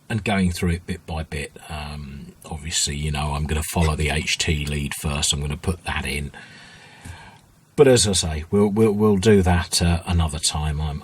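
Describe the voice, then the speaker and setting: A man with a low-pitched, rough-edged husky voice talks through a repair job informally. He sounds like a hobbyist at the bench, thinking aloud and feeling the way through each explanation without hurry. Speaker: hobbyist radio restorer, video host. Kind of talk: informal workbench tutorial, explaining as they go.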